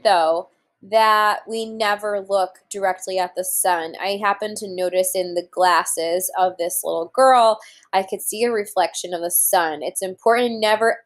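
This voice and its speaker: A woman in her thirties reading aloud to young children as a teacher, pausing to explain things simply and deliberately. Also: mid-range voice. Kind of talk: children's read-aloud lesson with explanatory asides. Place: room at home, indoors.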